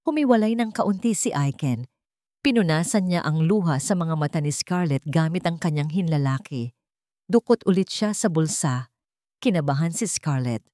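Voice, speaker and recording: A woman storyteller narrating a scripted romance drama in deliberate, sentimental, short phrases. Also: low-pitched, animated, dark tone, no room sound, clean studio sound.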